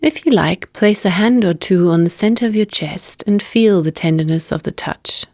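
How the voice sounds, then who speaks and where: Woman guiding a meditation, slightly low voice. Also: no echo, clean studio sound. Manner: gentle, unhurried, invitational. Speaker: meditation teacher. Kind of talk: guided meditation narration.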